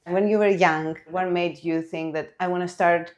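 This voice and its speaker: A woman's voice: fairly low pitch, steady tone, normal speed. A woman hosting a podcast interview, asking questions and adding brief encouraging remarks. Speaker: podcast host. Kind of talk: casual interview.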